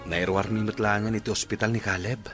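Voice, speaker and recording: An actor playing a tired, dutiful village father and son in an Ilocano radio drama, with an Ilocano accent and a husky voice. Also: lowish voice, steady tone, fast talker, over music, lightly acted. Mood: subdued.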